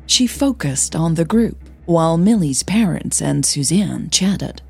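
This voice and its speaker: A woman narrating audio fiction as the host, in a measured, deliberate storytelling manner. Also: low voice, lively intonation, gravelly, darkish tone, husky.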